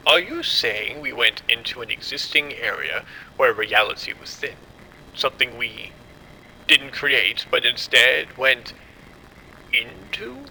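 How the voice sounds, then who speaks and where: A man acting as a cold, authoritative scientist in a horror audio drama. His gravelly, very forceful voice is grave and deliberate, with a faint low rumble behind it. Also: lively intonation, exaggerated voice, rough recording. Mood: grave realization. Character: cold, commanding male doctor covering up a disaster. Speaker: lead scientist.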